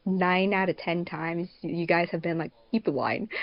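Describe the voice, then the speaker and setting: A woman's voice: lowish voice, measured pace, dry recording. A woman chats casually as a podcast co-host. Her manner is informal and giggly, full of slang. The sound is dry and a little dull, like a remote voice call. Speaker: podcast co-host, fandub production crew member and editor.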